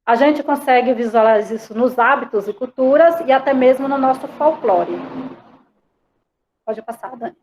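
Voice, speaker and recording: A woman's voice: high-pitched, normal speed, darkish tone, slight background noise, rough recording. A woman teacher with a Bahian accent, lecturing an online class in a conversational way, dropping frequent tag questions, over a video call. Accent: Bahian Portuguese. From Bahia, Brazil.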